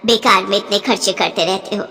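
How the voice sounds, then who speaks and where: A woman's voice: medium pitch, fairly quick, bright, forceful tone, in a large hall, pitched below the performer's own voice, close to an everyday voice. A woman playing a stingy, scheming older mother-in-law who scolds and bosses her family. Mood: grumbling. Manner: scolding, penny-pinching, bossy.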